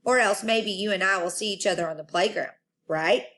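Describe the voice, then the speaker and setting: A woman voicing an excitable, chatty little kindergarten girl in a children's storybook reading. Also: mid-range voice, brisk, lightly acted. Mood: eager. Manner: exclamatory, childlike phrasing.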